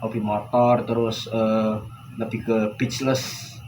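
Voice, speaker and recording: A man's voice: fairly low pitch, level tone, slow, roomy sound. A young adult man, explaining himself point by point in casual, colloquial conversation. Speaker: university student, counselling client. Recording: clear background noise, room ambience.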